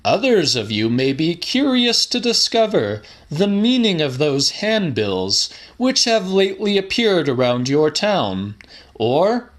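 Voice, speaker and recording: A man playing a pompous, grandiose scientist-showman lecturing a theater audience in a formal, theatrical, deliberate way, as in a dramatic audiobook. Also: high-pitched, full character voice. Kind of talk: dramatic audiobook reading of a monologue.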